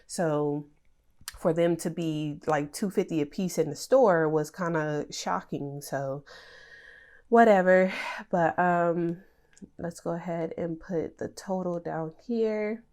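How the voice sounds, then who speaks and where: A woman's voice: fairly low pitch, slow, a little mellow. A young woman with a nasal, congested voice from a cold, chatting casually with many fillers as she goes over her budget planner. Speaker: budgeting channel host.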